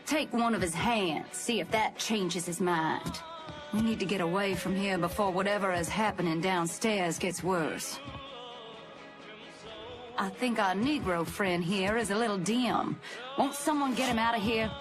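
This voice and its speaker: An actress playing a cold, imperious society matriarch in a video game, speaking in a formal, commanding manner. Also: female voice, mid-range voice, a little slow, music in the background, natural voice. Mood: cold, impatient. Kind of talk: video game cutscene acting.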